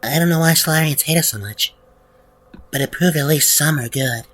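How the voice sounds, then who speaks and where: A man voicing a gentle, hopeful young hedgehog in a comic dub, speaking softly and earnestly. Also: a little high, brisk. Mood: somber, remembering. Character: gentle, hopeful young male Lunarian hedgehog, former captive. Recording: prominent background music.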